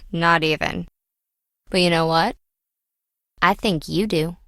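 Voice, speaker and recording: A woman's voice: on the low side, steady tone, slow pace, fairly bright tone, dry recording. An actress playing a brash, slippery woman treasure hunter in a video game, with wry, breezy, slangy teasing. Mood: sly, teasing.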